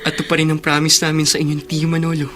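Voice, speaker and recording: An actor playing an earnest, grateful, forgiving young nephew in a Filipino radio drama, respectful and sincere. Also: male voice, fairly high pitch, completely flat delivery, quick pace, fairly bright tone, faint music behind, lightly acted. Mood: sincere, caring.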